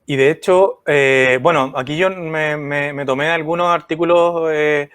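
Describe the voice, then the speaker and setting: A man's voice: a little high, fairly even intonation, unhurried, a bit bright, clear, resonant tone, no echo. A man hosting a live-stream interview, giving short affirmations and asking long, winding questions. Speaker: host.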